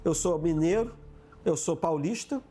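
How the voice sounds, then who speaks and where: A woman speaking lively in a documentary interview, voicing people's points of view. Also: on the low side, measured pace, slightly rough, faint music behind. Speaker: interviewee, historian.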